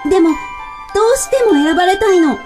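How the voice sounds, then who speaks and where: A woman's voice: extremely high pitch, expressive, quick pace, loud music behind the voice. A woman playing a sweet, dreamy, determined young ant in a radio drama, girlish and earnest. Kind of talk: cartoonish radio drama acting.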